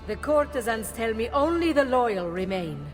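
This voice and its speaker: A woman's voice: on the high side, very animated, moderate pace, soft background music. A woman playing a composed, shrewd head of courtesans in a video game, with an Italian accent. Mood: calculating. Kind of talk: dramatic video game cutscene acting.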